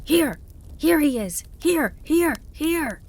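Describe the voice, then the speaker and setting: Woman acting a shrill, shouting little dog. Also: big pitch swings, extremely slow, vocal fry, breathy edge, heavily acted. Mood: excited calling. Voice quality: shrill, howling. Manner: shouting in short repeated barks. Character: small frenzied malevolent hunting dog, male, yapping.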